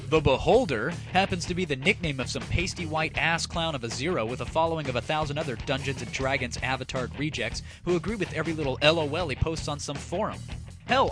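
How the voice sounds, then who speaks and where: Sarcastic man ranting over music. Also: fairly high pitch. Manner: sarcastic, theatrical, crude, mock-grandiose delivery. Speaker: host of an online gaming comedy audio show. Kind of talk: scripted comedic rant monologue. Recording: music under the voice.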